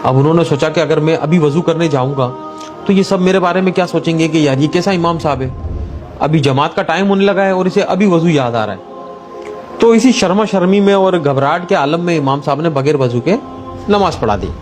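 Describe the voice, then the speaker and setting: A man's voice: fairly high pitch, a little fast. A man telling a religious moral story in an informal, conversational way, mixing in everyday English words. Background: background music.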